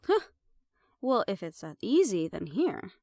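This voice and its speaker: A woman's voice: medium pitch, very animated, unhurried, warm tone, no echo, clean studio sound. A woman playing a sly, defiant half-banshee siren in an audio roleplay, sarcastic and playful as she mocks the listener. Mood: bored, sarcastic.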